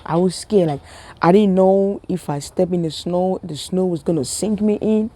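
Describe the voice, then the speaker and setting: A young woman from Ghana telling a funny personal story to her viewers in a casual, animated way, often repeating herself and laughing. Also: on the low side, normal speed. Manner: animated, repetitive, laughing, talks to viewers as family. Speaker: vlogger.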